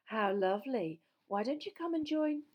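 A woman's voice: mid-range voice, moderate pace, muffled recording, lightly acted. A woman voicing a smug, cold-hearted female tabloid reporter in a gushing, condescending way for a story reading.

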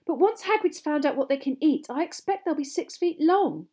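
A woman acts out a clever, bossy schoolgirl from a storybook. She is snappy and insistent, high in pitch, with an exasperated, animated delivery. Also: fast talker.

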